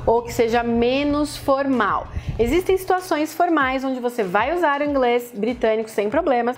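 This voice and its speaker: A woman in her thirties from Brazil, an English teacher giving a casual video lesson to camera with a lapel mic in a small room, talking lively and gesturing a lot. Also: high voice. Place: small indoor room with posters on shelves.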